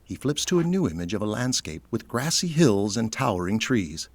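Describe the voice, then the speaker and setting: Casting: male narrator for audio drama, deep voice, scripted scene-setting read. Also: big pitch swings, moderate pace, no room sound.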